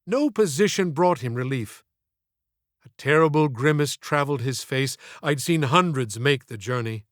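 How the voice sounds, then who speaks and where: A man's voice: medium pitch, expressive, normal speed, fairly bright tone, no room sound, studio quality. A man reading scripted audiobook narration in an earnest, literary, theatrical manner.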